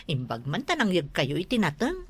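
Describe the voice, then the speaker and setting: A woman's voice: lowish voice, huge pitch swings, normal speed, breathy edge, dry recording, heavily acted. A woman playing an exasperated younger wife in a comedy drama, complaining and scolding with a northern Philippine accent. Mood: grumbling. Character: exasperated nagging younger wife of a lazy husband.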